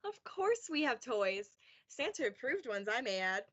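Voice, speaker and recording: A woman's voice: on the high side, very animated, unhurried, a bit bright, heavily acted. A woman playing a cheery shop saleswoman reading a scripted radio advert. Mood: cheery, promotional.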